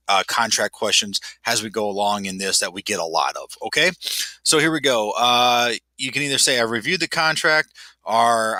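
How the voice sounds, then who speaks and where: Nasal man with a bright, dry voice. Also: fairly low pitch, no room sound. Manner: casual, self-deprecating, many fillers and restarts. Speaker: property manager guiding a client. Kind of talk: screen walkthrough tutorial.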